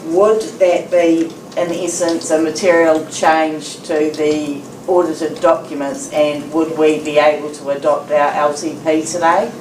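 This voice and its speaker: A woman speaking in a council meeting, deliberate and measured as she restates a question. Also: sits low, flat delivery, a little mellow. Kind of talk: meeting discussion. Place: council chamber. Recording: echoey chamber from distant mic, faint noise.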